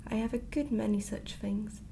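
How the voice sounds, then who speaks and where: A woman plays a mysterious old great-great-grandmother from a fairy tale. The speech is calm, kindly and half-whispered, with vocal fry and a soft, dark tone over faint noise. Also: medium pitch, moderate pace, natural voice. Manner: calm, patient, gently cryptic. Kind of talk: hushed ASMR storybook reading.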